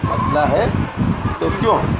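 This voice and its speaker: A man's voice: a little high, animated, unhurried, vocal fry. A man preaching as an Islamic cleric, explaining a ruling informally and often checking that listeners understand. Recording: noisy, echoey, muffled recording with traffic.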